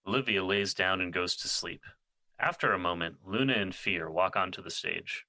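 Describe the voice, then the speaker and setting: A man's voice: low-pitched, moderate pace, pushed and bright. A man narrating scripted stage directions for an audio drama.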